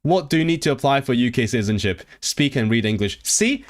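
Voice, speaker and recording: A man's voice: mid-range voice, brisk. A man chatting live with his viewers, reading quiz questions aloud, translating them and often restarting his sentences. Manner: chatty and animated, reacting to the chat. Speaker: livestreamer. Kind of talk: casual livestream chat with viewers.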